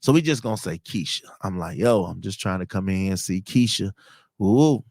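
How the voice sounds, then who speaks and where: A man's voice: sits low, lively intonation, fairly quick, darkish tone, dry recording, clean studio sound. A man in his thirties from telling personal stories in a casual, slangy, conversational way as a podcast host. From Chicago, United States. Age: 30s. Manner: conversational, slangy, acts out quoted dialogue.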